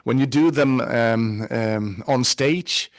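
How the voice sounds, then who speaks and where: A male actor from Sweden with a Swedish accent, answering interview questions in a halting way with many restarts. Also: medium pitch. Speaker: actor guest.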